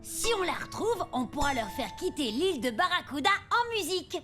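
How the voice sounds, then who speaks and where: A woman's voice: sits high, big pitch swings, fairly quick, faint music behind. A woman voicing an eager, loyal young sidekick pilot in a French children's cartoon, with an exclamatory delivery. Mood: curious.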